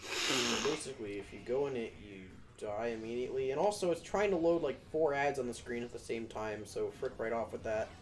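A man's voice: mid-range voice, a little fast. A young man chatting casually while playing a video game with a friend, joking and laughing often. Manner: joking, giggly, animated. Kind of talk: casual gaming chat.

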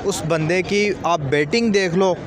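Emphatic young Pakistani man, fairly high voice. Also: a little slow, crowd noise. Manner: emphatic, full of superlatives. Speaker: street interviewee, cricket fan. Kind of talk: casual opinion in a vox pop. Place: outdoors at night by parked cars and traffic. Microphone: interviewer's handheld microphone. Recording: busy outdoor street noise.

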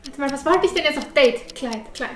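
A young woman in casual vlog chat as a co-host, talking in a playful, expressive, chatty way indoors at home. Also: high voice, fairly quick, reverberant. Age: young adult. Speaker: vlogger, co-host of a fashion haul show.

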